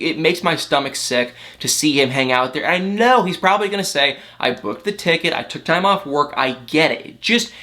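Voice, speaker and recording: A man's voice: mid-range voice, sharp tone. A man hosting a reality TV recap, chatting in a gossipy, exasperated and dramatic way. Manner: exaggerated, exasperated, dramatic retelling with mimicked dialogue. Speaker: host of a reality TV recap channel.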